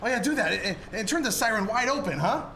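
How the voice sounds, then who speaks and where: An actor playing an excitable, stammering family man in the style of 1940s radio drama. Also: high-pitched, fast, exaggerated voice. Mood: giddy, overjoyed. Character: desperate, then joyously exuberant family man. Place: live performance in a church. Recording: roomy, noisy live stage recording.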